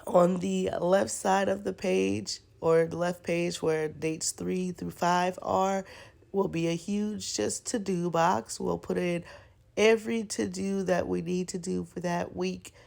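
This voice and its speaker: Slow, gravelly, congested young woman. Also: on the low side, a little mellow. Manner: chatty, rambling, with many fillers. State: stuffy and congested. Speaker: planner vlogger. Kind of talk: casual voice-over chat.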